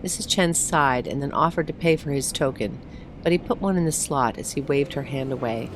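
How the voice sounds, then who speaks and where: Female storybook narrator, deep register, reading aloud with faint background noise. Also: brisk, poor audio. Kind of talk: scripted short-story narration, read aloud.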